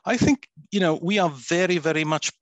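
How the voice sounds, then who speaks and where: A man's voice: on the high side, fairly quick, dry recording. A man from Ticino in Switzerland, a native Italian speaker with an Italian accent, answering interview questions thoughtfully with many restarts and "you know"s. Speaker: guest, radio host and author.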